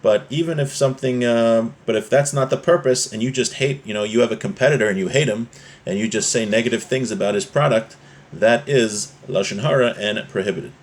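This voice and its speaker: Male religious teacher, mid-pitched, informal and explanatory, moving between reading a legal text and commenting on it. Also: a little fast. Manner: explanatory, often checking with "right?".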